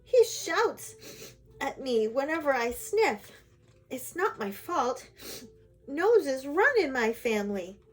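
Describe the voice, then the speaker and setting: Storybook dwarves, female narrator performing: small bearded men, comic, excitable, lively swings in pitch. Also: slow, heavily acted. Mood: complaining. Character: band of six small bearded male dwarves, boisterous, comic.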